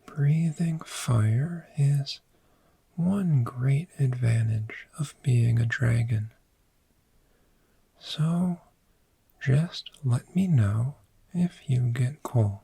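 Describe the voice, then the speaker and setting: A man voicing a friendly, gentle dragon in a soft-spoken, soothing ASMR roleplay, with a breathy whisper and long pauses. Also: mid-range voice, expressive, no room sound, heavily acted. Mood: cozy, welcoming. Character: friendly, gentle cave dragon fond of sleep.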